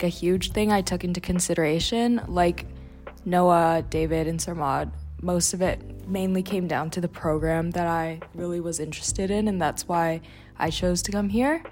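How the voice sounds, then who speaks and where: Young canadian woman hosting a student podcast, lowish voice, bit of music behind her. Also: a little mellow. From Vancouver, Canada. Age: young adult.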